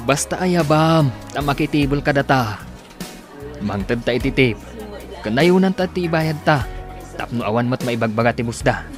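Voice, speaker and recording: A man's voice: medium pitch, animated, moderate pace, natural voice. A man acting a young husband in an Ilocano radio drama, devoted but fond of drink. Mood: tipsy, insistent. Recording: music behind the voice.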